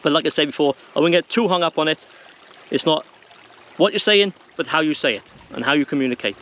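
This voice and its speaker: A man's voice: fairly high pitch, animated, fairly quick, a bit bright. A man presenting an informal how-to video to viewers in a plain-spoken, conversational way. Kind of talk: informal instructional talk to viewers.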